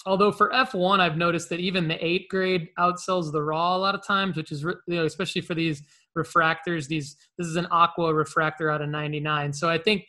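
A man hosting a casual collectibles show, explaining things in a rambling, halting way. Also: on the high side, level tone, bright, forceful tone. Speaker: show host. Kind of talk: casual, conversational collectibles talk.

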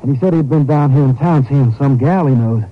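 An actor playing a folksy, hesitant rancher with colloquial country speech in a 1950s radio drama. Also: male voice, mid-range voice, brisk, lightly acted. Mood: sheepish, confessing. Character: folksy rancher, hesitant friend covering for someone. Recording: dull 1950s radio recording.

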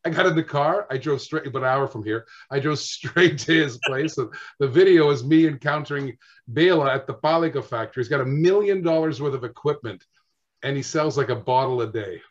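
Animated male storyteller on dull audio. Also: mid-range voice, a little fast. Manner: animated storyteller, acts out dialogue. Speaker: guest. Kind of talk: casual storytelling chat.

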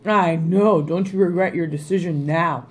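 A woman co-hosting a podcast, chatting casually, animated and jokey, swearing freely. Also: on the low side, moderate pace, slight background noise, low-quality recording. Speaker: podcast co-host. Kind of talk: casual chat.

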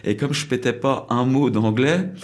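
A man's voice: mid-range voice, moderate pace. A French man telling stories casually in an interview, rambling, with many fillers and restarts. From France. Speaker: crêperie owner in South Korea.

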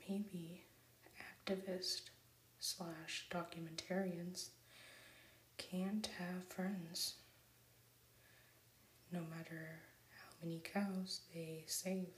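A woman whispers as a smug cartoon activist filmmaker, dry and snide. The whisper is slow and low, in an echoey room. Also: flat delivery, slight background noise. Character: smug male activist documentary maker.

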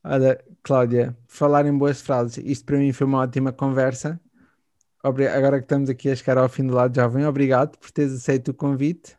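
A man's voice: medium pitch, flat delivery, a little fast, a little mellow, dry recording. A man with a European Portuguese accent hosting a radio interview, playful and joking. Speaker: radio show host. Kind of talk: casual radio interview chat.

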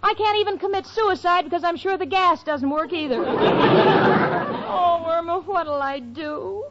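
Exasperated, very high-voiced young roommate. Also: female voice, measured pace, over a noisy crowd, very noisy. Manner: dry, complaining, dramatic. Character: exasperated, sarcastic young woman, long-suffering roommate. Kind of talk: 1940s radio comedy acting. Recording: dull old radio recording with studio audience.

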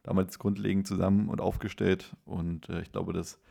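A man chatting casually as co-host of a football podcast, in a halting way with self-corrections. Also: sits low, little pitch movement, vocal fry, dark tone. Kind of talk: casual conversational football discussion.

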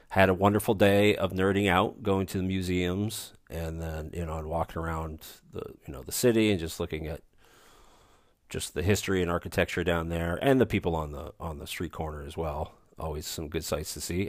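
A man hosting a casual solo podcast, rambling with dry, self-deprecating humor in a soothing voice. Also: no room sound. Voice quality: soothing low voice. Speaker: podcast host. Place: recording remote, away from studio.

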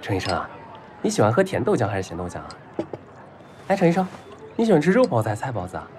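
A man's voice: mid-range voice, huge pitch swings, very fast, background noise. An actor playing a lovestruck, spoiled young rich man in an audio drama, clingy and naive, with an eager, whiny, childishly earnest way of talking. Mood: eager, chatty. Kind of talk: Chinese audio drama acting.

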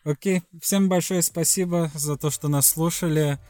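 A young man co-hosting a casual podcast, relaxed, with short replies and thanks to the guest. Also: fairly high pitch, normal speed. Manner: relaxed, brief backchannel replies. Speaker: podcast co-host.

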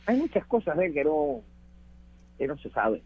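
A man's voice: fairly high pitch, big pitch swings, moderate pace, breathy edge, phone-quality sound. A man with a Cuban accent reminiscing as an interview guest, emphatic and repeating words for effect. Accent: Cuban Spanish. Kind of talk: reminiscing conversation.

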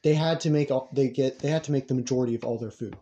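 A man's voice: mid-range voice, fast, dark tone, dull audio, slight background noise. A young man in casual group conversation, speaking in an emphatic, opinionated way.

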